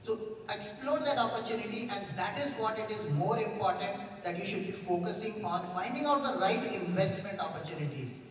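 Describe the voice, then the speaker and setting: A man's voice: high-pitched, expressive, fairly quick, a bit bright, a little hiss or hum. A man in his thirties speaking on a conference panel into a handheld mic, giving earnest advice. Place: large conference hall stage. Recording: echoey hall from far off.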